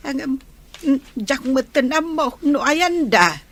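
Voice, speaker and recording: A woman playing a fussing, chiding old grandmother with drawn-out phrasing in an Ilocano radio drama. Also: high-pitched, sing-song, a bit bright, full character voice. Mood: explaining, concerned.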